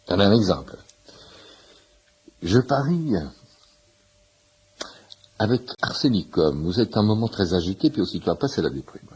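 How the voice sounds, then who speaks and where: A man's voice: on the low side, sing-song, normal speed, pauses often, darkish tone, slightly rough, low-quality recording. A man playing a talkative, nosy shopkeeper who eagerly explains homeopathy in a pedantic way, in a French radio play. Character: chatty, nosy shirt-shop owner, amateur homeopathy enthusiast in waiting room. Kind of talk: naturalistic French radio comedy-drama acting.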